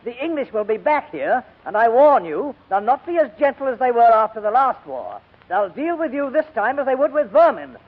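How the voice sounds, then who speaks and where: A man's voice: very high-pitched, very animated, a little fast, few pauses, exaggerated voice. An actor playing a rash young male prisoner, sardonic and theatrically defiant, in a 1940s radio drama. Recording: tinny, phone-like old radio recording.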